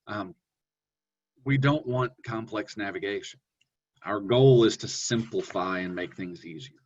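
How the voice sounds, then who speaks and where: A man presenting a webinar on online teaching, talking in a relaxed, conversational way with fillers and self-corrections. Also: unhurried, slightly rough. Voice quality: deep voice. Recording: dull lo-fi webinar audio.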